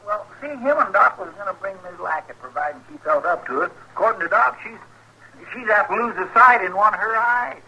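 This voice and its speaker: An actor playing an earnest, folksy frontier deputy, deferential and drawling, with an American accent in 1950s radio western style. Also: male voice, high voice, lively intonation, fairly quick, pushed and bright, full character voice, rough recording. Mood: eager, deferential.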